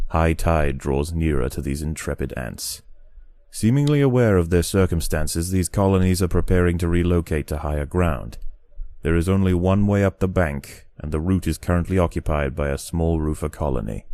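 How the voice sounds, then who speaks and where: A man reading scripted narration in the style of a nature documentary, with a measured, dramatic and solemn storytelling delivery. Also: deep voice, dark tone, no room sound.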